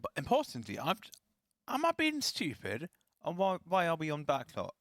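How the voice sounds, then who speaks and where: A man casting a live esports match, chatty and self-deprecating, his voice slightly raspy. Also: on the high side, huge pitch swings, moderate pace, fairly bright tone, no room sound, studio quality. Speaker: esports caster.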